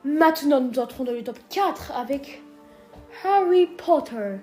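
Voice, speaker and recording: High-pitched girl joking around, lots of euh, faint music under it. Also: lively intonation, unhurried, darkish tone. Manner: playful, teasing, hesitant fillers. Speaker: co-host of a ranking video. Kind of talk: casual scripted chat.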